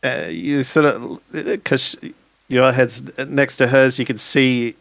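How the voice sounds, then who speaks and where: A man's voice: medium pitch, takes it slowly, vocal fry, fairly bright tone, no echo. A man playing a tabletop roleplaying game, casually describing what happens.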